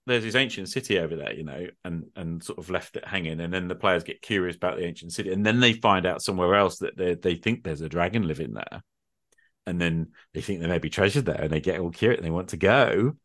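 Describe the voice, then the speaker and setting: A middle-aged man with a low voice talks through his ideas in a casual, explanatory way. He often checks in with little tag questions, and the sound is dry and close. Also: fast talker, fairly bright tone, no room sound. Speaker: podcast host and game master. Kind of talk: extended casual discussion of tabletop gaming.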